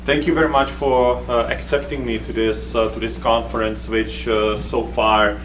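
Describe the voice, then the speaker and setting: A man from the Czech Republic with a Czech accent, giving a conference talk as a researcher, speaking haltingly with many fillers and restarts. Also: medium pitch, little pitch movement, fairly bright tone. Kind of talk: conference presentation. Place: conference hall. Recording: echoey room recorded from a distance with faint hum.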